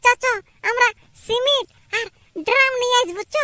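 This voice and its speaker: A woman with a Purulia Bengali accent voicing a scornful, scheming young wife in an amateur cartoon, blunt and nagging, through a pitched-up voice filter. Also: normal speed, sharp tone, a little hiss or hum. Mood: conspiratorial, discontented.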